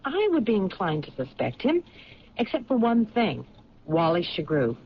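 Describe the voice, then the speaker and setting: Lively, probing police lieutenant, old radio. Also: female voice, medium pitch. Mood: pensive, reasoning. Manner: probing, deliberate questioning. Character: sharp, persistent female police lieutenant investigating murders. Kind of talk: old radio drama acting. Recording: muffled, noisy 1970s radio recording.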